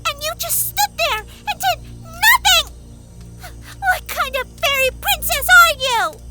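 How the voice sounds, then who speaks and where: A woman's voice: lively intonation, takes it slowly, exaggerated voice. A woman voicing a small, blunt, scolding talking hen in a fantasy audio drama. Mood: indignant. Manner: shrill, blunt, reproachful. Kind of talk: full-cast audio drama acting. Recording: slightly distant, under dramatic music.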